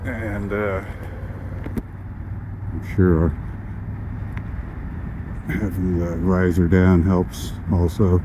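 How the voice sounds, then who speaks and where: Guy with a deep voice rambling on his motorcycle, lots of uhs, loud wind noise in the helmet mic. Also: flat delivery. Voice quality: occasionally a deep voice. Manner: rambling, halting with frequent "uh" fillers. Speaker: motorcycle vlogger. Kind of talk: casual ride-along commentary. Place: riding a motorcycle on the road outdoors. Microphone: boom mic inside a modular helmet chin bar. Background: wind and traffic noise.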